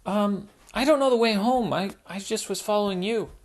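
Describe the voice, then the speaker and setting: A woman's voice: mid-range voice, normal speed, a little mellow, pitched above the performer's own voice, natural voice. A woman voicing a fussy, easily upset girl who whines and huffs. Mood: unsure. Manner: whiny, huffy.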